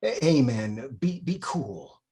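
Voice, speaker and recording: A man playing a troubled, gentle priest in a low-key way for a screenplay table read. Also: big pitch swings, slow. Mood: placating. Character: troubled, subdued male priest, gentle and calming.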